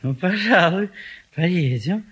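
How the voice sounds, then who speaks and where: An indolent, fussy bachelor official in a classic stage comedy. He speaks slowly in a fairly high, husky voice that rises and falls expressively. His manner is hesitant and grumbling. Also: lively intonation, fairly bright tone, full character voice. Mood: amused, softening.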